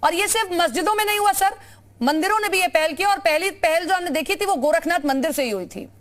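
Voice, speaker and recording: A woman anchoring a TV news debate, introducing guests and putting brisk, formal questions to them. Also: extremely high pitch.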